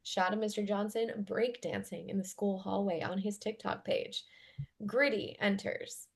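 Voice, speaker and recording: A woman's voice: mid-range voice, fairly even intonation, unhurried, creaky. A woman reading out stage directions as scripted narration at a table read.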